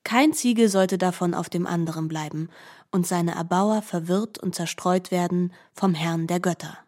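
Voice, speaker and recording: Lowish-pitched woman, formal literary narrator. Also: a little mellow. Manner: measured, formal storytelling. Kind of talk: scripted literary narration for radio drama.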